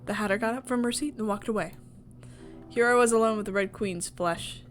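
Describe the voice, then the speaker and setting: A woman's voice: medium pitch, a little fast, soft background music. A woman reading story narration aloud from a visual novel in a casual playthrough. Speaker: visual novel reader and streamer.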